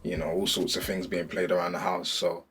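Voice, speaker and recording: Very deep-voiced reflective man. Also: little pitch movement, brisk, fairly bright tone, slightly rough, soft background music. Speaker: interviewee. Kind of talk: casual reflective talk.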